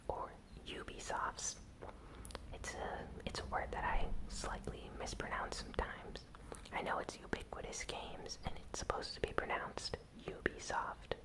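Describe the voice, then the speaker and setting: A host whispering a gaming news recap in ASMR style, with soft, unhurried, deliberate phrasing. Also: low-quality recording.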